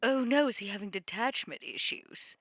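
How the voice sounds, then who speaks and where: Woman playing a bright, sarcastic, exasperated vampire woman. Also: fairly high pitch, expressive, no room sound, exaggerated voice. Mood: wry, concerned. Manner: halting, dry sarcasm. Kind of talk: improvised tabletop roleplay, phone conversation.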